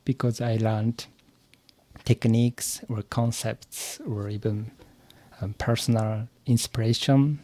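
A man from Japan with a Japanese accent, answering interview questions as an English learner, haltingly searching for words. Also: mid-range voice, level tone, dark tone. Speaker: podcast guest, English learner.

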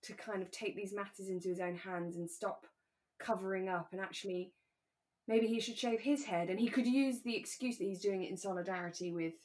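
A woman co-hosting a podcast, chatting in a relaxed, conversational way about a radio drama. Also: medium pitch, brisk. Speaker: podcast co-host.

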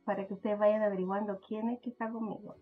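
A woman's voice: mid-range voice, level tone, fast, narrow, tinny audio, faint music behind. A Chilean woman hosting a podcast interview, chatting casually and hesitantly with frequent fillers and laughs. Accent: Chilean Spanish. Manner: hesitant, mixes in English words, laughs often. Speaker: podcast host.

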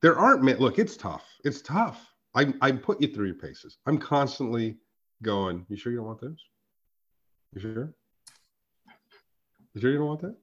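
Hoarse, raspy man talking animatedly. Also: medium pitch, moderate pace, long pauses, dull audio. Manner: animated, emphatic, swears and laughs often. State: hoarse from a sore throat. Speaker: podcast co-host. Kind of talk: casual chat.

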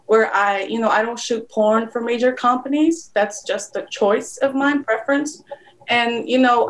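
A woman's voice: fairly high pitch, low-quality recording. A woman in her thirties from Poland, speaking English as an interview guest, chatty and frank with many fillers, over a video call. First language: Polish. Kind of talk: casual interview chat.

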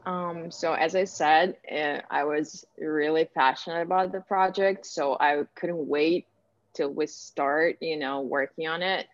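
Casting: woman, Ukrainian accent, low register, conversational and hesitant interviewee, muffled lo-fi recording. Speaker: interviewee, actor and director. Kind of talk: casual interview answers. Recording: echoey room, dull lo-fi sound.

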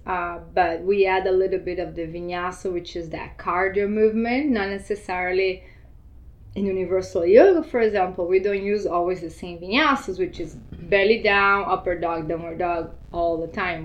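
A woman born in Argentina and raised in Brazil, explaining yoga in a casual interview and laughing often. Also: mid-range voice. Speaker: yoga teacher.

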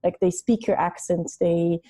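Woman interviewer, super mellow dark voice, pretty flat delivery, careful and measured. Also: fairly low pitch. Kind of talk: interview questions.

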